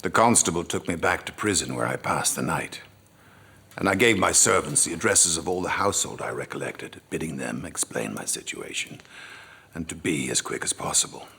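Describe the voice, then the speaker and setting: An actor playing a worldly, wry gentleman narrating his memoirs theatrically, with a gravelly voice that drops toward a whisper. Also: extremely low pitch, expressive, moderate pace, full character voice. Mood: dramatic, weary. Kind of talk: dramatic first-person memoir narration.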